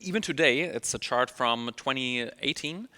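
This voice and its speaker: German guy w/ accent doing an upbeat webinar talk, bright voice, lots of ums, echoey hall. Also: medium pitch, normal speed. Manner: upbeat, many fillers and restarts. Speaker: email marketing trainer. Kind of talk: online conference presentation.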